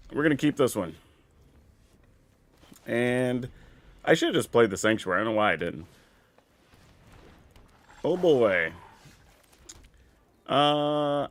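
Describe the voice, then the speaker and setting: A man, congested from a sinus cold, chatting easily and thinking aloud while streaming a card game. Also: mid-range voice. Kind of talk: casual live-stream chat while playing.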